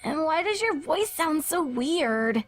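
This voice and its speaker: A woman voicing a small, earnest, uneasy young filly pony with a childlike squeaky voice in a story reading. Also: sing-song, slow pace, soft background music, exaggerated voice. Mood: wary. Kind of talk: dramatic fan fiction reading.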